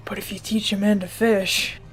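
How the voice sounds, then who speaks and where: A woman performing a comedic dub of a webcomic with a brisk, playful delivery and sudden loud outbursts. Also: medium pitch, level tone, loud music behind the voice, rough recording. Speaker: fan dubber voicing a webcomic. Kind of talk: comedic comic dub, quick skits.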